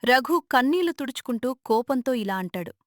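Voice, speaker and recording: A woman narrating an animated drama story in a dramatic, expressive way. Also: sits high, a little fast, dry recording, studio quality.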